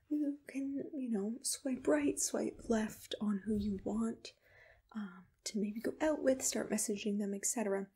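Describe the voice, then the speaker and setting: A woman whispering softly and attentively in a soothing, reassuring ASMR roleplay. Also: a little high. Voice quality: breathy whisper.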